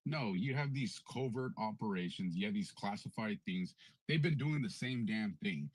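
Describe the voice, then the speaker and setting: A man with a husky, somewhat low voice speaks bluntly and swears freely. His sound is dry and close to the mic. He talks in a casual, storytelling way. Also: dry recording, poor audio. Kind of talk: casual chat on a live audio space.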